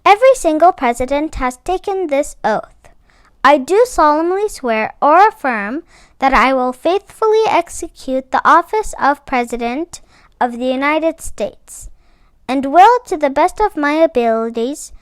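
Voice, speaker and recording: A woman with a notably high voice reads a children's picture book aloud. She takes her time and sets out each phrase deliberately, leaning on the important words. The sound is dry, with little room around her. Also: lively intonation, no echo. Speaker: read-aloud book club host.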